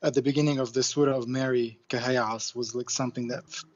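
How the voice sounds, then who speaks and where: A Lebanese-born man whose first language is Arabic, calling in and speaking politely and warmly. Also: medium pitch, fairly even intonation, quick pace, lo-fi sound, a little hiss or hum. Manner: polite and complimentary. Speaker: caller. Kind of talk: phone-in call.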